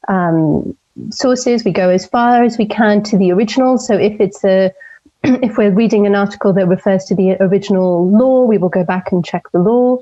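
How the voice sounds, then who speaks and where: A woman from Portugal in her forties, an opinion columnist answering interview questions in a measured, thoughtful way with frequent ums. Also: medium pitch, warm tone, dull audio. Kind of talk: interview over a call.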